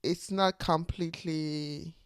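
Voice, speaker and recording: A reflective woman in her forties from Ivory Coast speaks slowly and unscripted, pausing to find her words. Her voice has a gravelly fry, recorded close and dry with no room sound. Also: low-pitched, fairly even intonation, no echo. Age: 40s. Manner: reflective, searching for words, with soft laughs. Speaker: channel host, teacher. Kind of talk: unscripted personal talk to camera.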